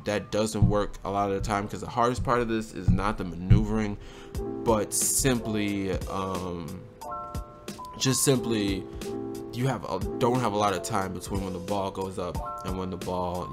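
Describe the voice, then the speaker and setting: Low, slightly hoarse man with music behind. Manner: relaxed, conversational, often asks "right?". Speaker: gaming channel host. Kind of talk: casual game tutorial commentary. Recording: music playing under the voice.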